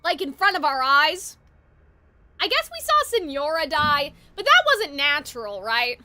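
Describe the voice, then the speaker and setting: A woman's voice: high voice, sing-song, a little slow, sharp tone, no echo. A woman live streamer chatting casually and reacting to a game in an informal, chatty way.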